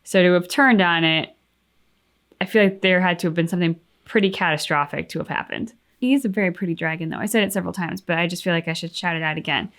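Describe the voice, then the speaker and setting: A woman with a voice a little on the low side. She talks casually and thoughtfully, as a co-host giving opinions. Her sentences are peppered with likes and you-knows as she works through each idea. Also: fast, fairly bright tone. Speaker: co-host of game reaction channel.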